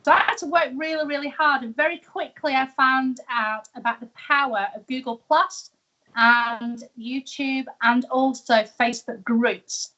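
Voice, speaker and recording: A woman's voice: high voice, a little slow, sharp tone, dull audio. A woman from the UK with a British accent, a guest telling her story in a casual, upbeat interview over a video call. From United Kingdom. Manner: chatty and upbeat, laughs easily. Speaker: guest, entrepreneur. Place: live video show.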